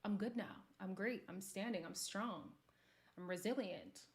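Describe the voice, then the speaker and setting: A woman from Montreal talks openly on her livestream, her pitch sitting in an ordinary middle range. She chats casually with viewers, answering their comments and breaking into frequent laughter. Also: moderate pace. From Montreal, Canada. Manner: candid, conversational, laughs often. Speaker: livestream host.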